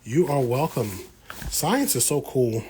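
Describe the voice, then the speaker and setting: A man's voice: medium pitch, sing-song, slow, darkish tone, poor audio. An American man chatting casually on a livestream as he works, talking to viewers in a folksy, slangy way. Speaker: plant vlogger hosting a livestream. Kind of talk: casual livestream chat while working. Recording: clear background noise with room ambience.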